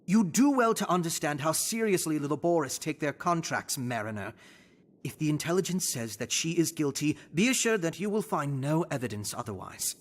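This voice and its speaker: An actor voicing a sly, glib broker for an assassins' guild in a video game, teasing and theatrical. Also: male voice, fairly high pitch, lively intonation, fairly quick, lightly acted. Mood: coolly persuasive. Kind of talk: dramatic video game voice acting.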